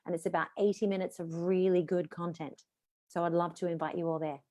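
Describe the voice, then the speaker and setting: A woman's voice: fairly low pitch, level tone, a little fast, warm tone. A middle-aged woman, a voice coach, teaching an online session over a video call in an encouraging coaching tone.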